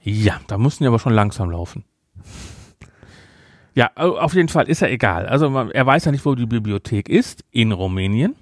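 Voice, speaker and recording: A man's voice: mid-range voice, lively intonation, a little fast, no room sound, studio quality. A man co-hosting a podcast, chatting casually as he reviews an audio drama in a sarcastic, exasperated, nitpicking manner. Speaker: podcast co-host.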